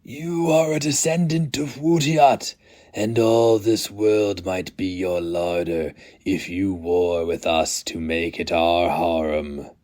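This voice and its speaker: A man voicing an ancient, weary but fanatical male alien engineer, chanting slowly in a strained, otherworldly voice. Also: sits low, fairly even intonation, darkish tone. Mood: chanting, fervent. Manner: slow chanting incantation. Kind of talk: dramatic audiobook reading.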